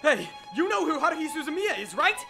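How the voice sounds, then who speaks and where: A performer in an anime fan dub playing a sardonic teenage high-school boy, dramatic and disbelieving. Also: big pitch swings, fast talker, gravelly, music in the background, full character voice. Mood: agitated, demanding.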